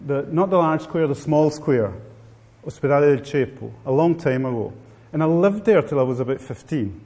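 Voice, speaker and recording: A man's voice: a little high, lively intonation, moderate pace, dark tone. A middle-aged man with an Italian accent, giving a wry, informal talk to a live seminar audience. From Italy. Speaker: guest speaker. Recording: long hall reverb.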